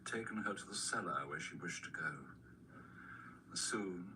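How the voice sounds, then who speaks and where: An actor playing a sinister, courteous servant of a dark master in a radio drama, speaking formally with mock-polite menace. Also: male voice, deep voice, unhurried. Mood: gloating. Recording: noisy replayed radio drama recording.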